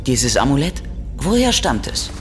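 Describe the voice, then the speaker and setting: A woman's voice: rumbling low, sing-song, measured pace, music in the background, full character voice. A woman playing a tense, inquisitive investigator in a radio drama, direct and questioning. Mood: alert.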